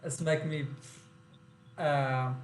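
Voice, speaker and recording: A man who is not a native English speaker asks questions haltingly, with broken grammar and many pauses. His voice is on the higher side for a man. The room sounds echoey, with a faint hum behind him. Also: level tone. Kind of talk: casual conversation.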